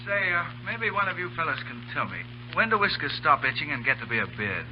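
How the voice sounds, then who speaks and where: A man's voice: fairly high pitch, sing-song, quick pace. A seasoned, tough army officer with a fatherly streak. He speaks in the manner of 1930s radio drama, through a dull recording with plenty of hiss and hum. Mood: wry, alert.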